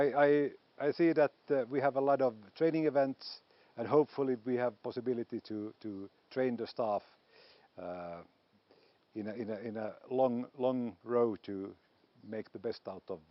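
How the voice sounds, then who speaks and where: A man's voice: medium pitch, measured pace, dry recording. Man, Finnish accent, hesitant, lots of fillers, dry slightly dull recording. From Finland. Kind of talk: interview statement.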